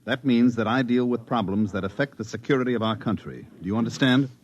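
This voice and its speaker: Male actor as hard-nosed American government agent, rapid clipped delivery, authoritative, vintage radio drama. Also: medium pitch, lo-fi sound, a little hiss or hum, close to an everyday voice. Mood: stern, pressing. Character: brusque, hard-nosed government security agent interrogating a witness. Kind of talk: 1950s radio drama acting. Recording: vintage 1950s radio broadcast.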